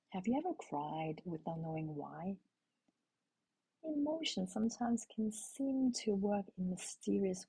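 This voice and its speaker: A middle-aged woman from Hong Kong, a native Cantonese speaker, telling a personal parenting story in a calm, intimate way and asking her listeners questions. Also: medium pitch, vocal fry, husky, poor audio. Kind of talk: reflective spoken essay.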